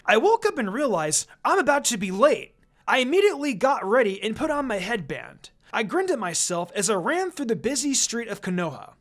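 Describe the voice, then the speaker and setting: A fanfiction reader voicing a loud, cheerful teenage boy ninja who talks excitedly. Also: mid-range voice, brisk, pitched above the performer's own voice. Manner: excited and grinning.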